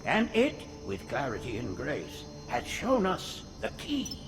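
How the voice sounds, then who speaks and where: An actor voicing a frail old alien prophet in a game cinematic, creaky and thin, preaching with florid, pious grandeur. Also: male voice, mid-range voice, wildly swooping pitch, unhurried, full character voice. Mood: pious fervour. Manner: sermonising, florid. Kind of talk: video game cinematic acting. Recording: music behind the voice.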